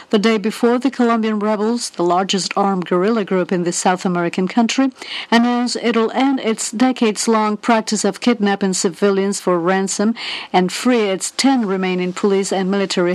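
A woman's voice: mid-range voice, no echo, poor audio. Woman reading news, dry studio sound. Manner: clear, formal news delivery. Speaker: news reader.

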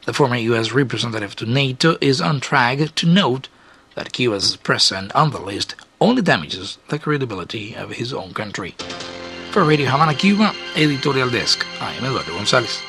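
A man with a husky voice reading a scripted radio editorial. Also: medium pitch, animated, a little fast, pushed and bright, soft background music.

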